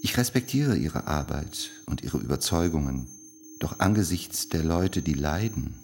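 An actor playing a grieving, restrained father, reading out his letter in a grave, measured way for a radio play. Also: rumbling low, lively intonation, vocal fry, a little mellow, a little hiss or hum, full character voice. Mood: restrained grief.

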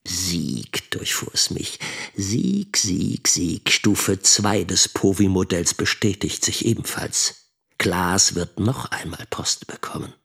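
A man playing a sharp, indignant middle-aged father and teacher narrating in a radio drama. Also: sits low, slow, gravelly, slightly rough, heavily acted. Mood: secretly triumphant.

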